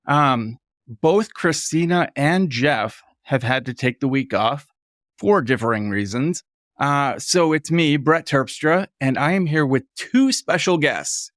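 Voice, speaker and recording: A man hosting a casual podcast, friendly and quick to laugh. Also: on the high side, animated, fairly bright tone, no room sound, studio quality. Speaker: podcast host.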